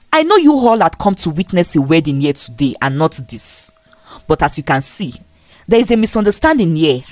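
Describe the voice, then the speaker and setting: A woman's voice: deep voice, a little mellow, no room sound. A woman storyteller voicing a male pastor who formally apologizes and restores order. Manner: formal, placating.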